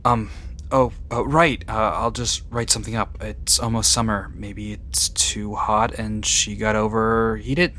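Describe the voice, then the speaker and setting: A man's voice: lowish voice, flat delivery, unhurried, bright, forceful tone. A man playing a kind, slightly awkward vet tech in an audio drama, reassuring but hesitant. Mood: hesitant, helpful. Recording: faint background noise and ambience.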